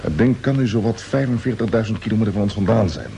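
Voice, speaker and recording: An actor playing a resolute space fleet commander in a radio drama, giving orders in an authoritative, matter-of-fact way. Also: male voice, lowish voice, fast, vocal fry, dark tone. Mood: focused, commanding. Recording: noisy old radio drama recording.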